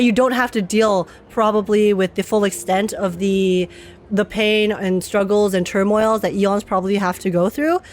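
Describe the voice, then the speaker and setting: A young woman streamer giving casual, chatty reaction commentary on a game, laughing often. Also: medium pitch.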